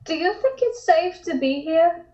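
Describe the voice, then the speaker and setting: A woman's voice: very high-pitched, expressive, a little slow, dark tone. A woman playing an uneasy, tentative woman in a comic table read. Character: uneasy woman, softly apprehensive. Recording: roomy, dull home microphone.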